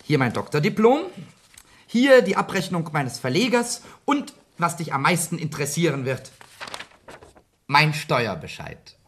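An actor playing a cheeky, self-assured young writer in a radio play, with a sly, polite manner. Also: male voice, a little high, very animated, a little slow. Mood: teasing, self-assured. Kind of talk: radio play acting and narration.

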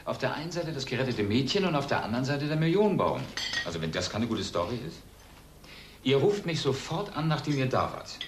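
A man's voice: mid-range voice, big pitch swings, brisk, lightly acted. A man playing a keen, persuasive, scheming newsman in a drama. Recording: echoey room, slight noise.

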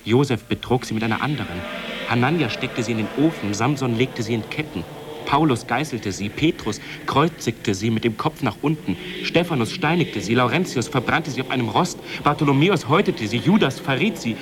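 Young novice monk, played by a man: medium-pitched, fervent, incantatory radio drama delivery over clearly noisy ambience. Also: animated, fairly quick, natural voice. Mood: feverish visionary. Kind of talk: German radio drama acting.

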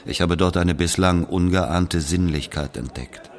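An actor playing a brooding, guilt-ridden Algerian man who recalls his past in a grave, measured literary monologue. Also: low-pitched. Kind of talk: literary monologue, scripted narration. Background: plucked guitar music.

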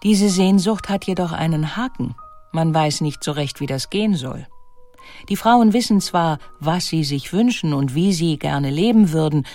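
A woman reading scripted documentary narration in a measured, articulate way. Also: low voice, dark tone, faint music behind.

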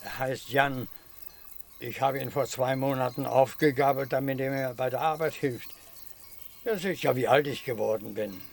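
Male actor as elderly shepherd: gravelly, obliging, humble servant in a radio thriller. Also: mid-range voice, moderate pace, close to an everyday voice. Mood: deferential, reassuring. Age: older. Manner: deferential, obliging. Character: humble, loyal old shepherd serving a mafia boss. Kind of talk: radio crime drama acting.

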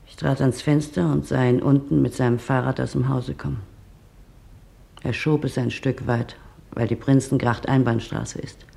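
Shaken witness in a radio drama, measured, really creaky voice, medium pitch. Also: male voice, fairly even intonation, warm tone. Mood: agitated recounting. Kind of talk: dramatized documentary monologue in German.

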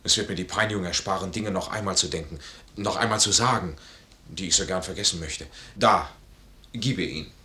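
An actor playing a proud, honorable army major in a 1950s German radio play, with formal, emphatic stage diction. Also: male voice, low voice, a little fast, sharp tone. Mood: anguished.

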